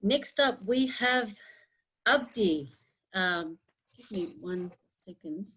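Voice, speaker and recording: A woman moderates a webinar over a video call. She introduces speakers in a hesitant way, full of small fillers and pauses. Her voice sits at a middle pitch. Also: expressive, fairly bright tone, husky, low-quality recording. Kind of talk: hosting an online webinar.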